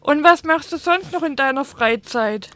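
A woman hosts a casual radio interview and keeps her questions short and direct. Her high, bright voice sits close and dry on the mic, with no room sound behind it. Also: lively intonation, moderate pace, no room sound. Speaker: radio presenter.

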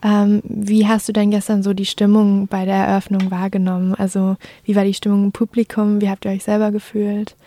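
A woman's voice: mid-range voice, flat delivery, fairly quick, hardly any pauses, mellow. A woman interviewing a guest, asking friendly, encouraging questions. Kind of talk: radio interview.

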